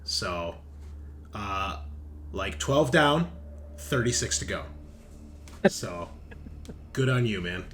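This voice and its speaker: A man's voice: lowish voice, animated, takes it slowly, long pauses, fairly bright tone, slight background noise. A man running a tabletop roleplaying game as game master, narrating casually and bantering with plenty of swearing.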